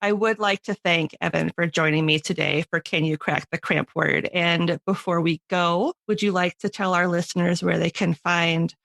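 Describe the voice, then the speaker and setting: Slightly low-voiced woman hosting, supportive. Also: steady tone, moderate pace, vocal fry, hardly any pauses, a bit bright, no echo. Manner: affirming, supportive of the guest. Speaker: podcast host. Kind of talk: casual chat.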